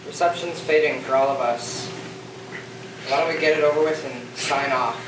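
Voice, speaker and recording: A man's voice: on the high side, normal speed, close to an everyday voice. A young man on stage playing a spaceship crewman resigned to his fate. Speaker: student stage actor. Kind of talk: theatrical stage dialogue. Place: school theatre stage. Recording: echoey stage, distant mic, clear background noise.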